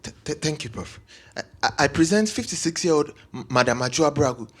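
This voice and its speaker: A man's voice: fairly high pitch, animated, quick pace, a bit bright, husky. An actor with a Ghanaian accent playing a young male medical student in an audio drama. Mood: nervous, stammering. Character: young male medical student, breezy among friends, easily cowed. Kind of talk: scripted audio drama acting.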